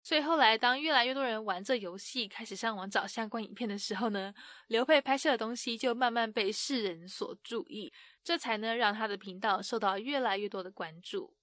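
A woman narrating and explaining the interview clips in a clear teaching tone. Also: a little high, a little fast, fairly bright tone, no echo. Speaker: program narrator.

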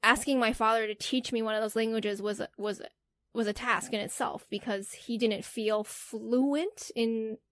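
A woman's voice: a little high, measured pace, no echo. A young woman, an interview guest, telling stories in casual chat and often adding "right?". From United States. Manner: animated storytelling, frequent "right?" tags.

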